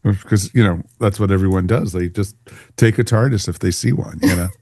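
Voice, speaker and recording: A man's voice: low-pitched, fairly quick, mellow, no room sound, studio quality. A man co-hosting a podcast, chatting casually and enthusiastically in an informal fan review. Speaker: podcast co-host.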